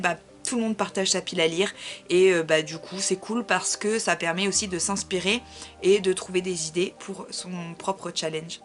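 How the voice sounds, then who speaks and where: A woman's voice: mid-range voice, fairly bright tone. A woman book vlogger talking casually and informally to her viewers, with chatty, self-deprecating asides. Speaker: book vlogger presenting a reading challenge.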